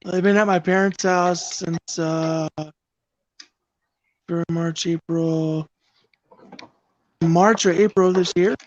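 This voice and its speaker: A man's voice: sits high, steady tone, slow pace, long pauses, dry recording. Guest, mid-30s man, easygoing rambler, dry dull call audio. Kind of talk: casual livestream chat. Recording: dry muffled stream audio.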